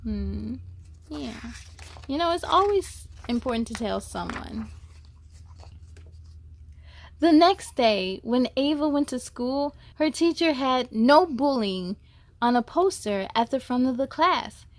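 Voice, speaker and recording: A woman's voice: sits high, lively intonation, takes it slowly, long pauses. A woman hosting a children's story time, reading aloud expressively and speaking to young listeners in an encouraging way.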